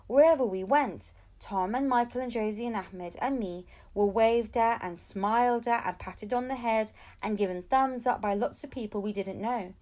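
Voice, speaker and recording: A woman's voice: on the high side, moderate pace, hardly any pauses. A woman reading a children's storybook aloud, narrating expressively as an audiobook reader. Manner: expressive storytelling with acted-out dialogue.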